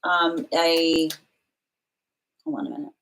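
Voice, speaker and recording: A woman tarot reader hosting a live stream, with a voice sitting a little low for a woman. She muses aloud as she goes, reads viewers' comments back, and trips over and restarts her phrases while she gathers her thoughts. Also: big pitch swings, takes it slowly, vocal fry, fairly bright tone, poor audio.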